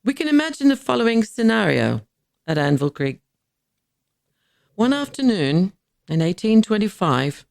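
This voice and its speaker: Male narrator, slightly high voice with vocal fry, deliberate formal delivery of scripted history. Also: darkish tone, no echo, clean studio sound. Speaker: podcast narrator.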